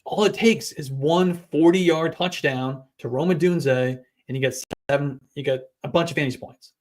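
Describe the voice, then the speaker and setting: A man hosting a fantasy football podcast, delivering brisk, stat-heavy analysis in casual chat. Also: a little high. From New England.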